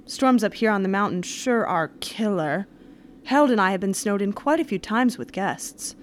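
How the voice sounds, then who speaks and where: A woman's voice: medium pitch, lively intonation, normal speed, a little hiss or hum, close to an everyday voice. A woman playing a friendly, folksy 1930s lodge hostess in a radio drama, with chatty, slangy talk.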